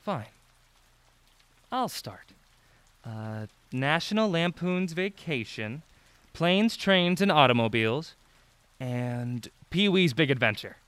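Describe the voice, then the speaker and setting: A man playing a playful, polite young traveler in an audio drama, with a teasing, expressive manner. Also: fairly high pitch, slow pace, pauses often, smooth, no room sound, heavily acted.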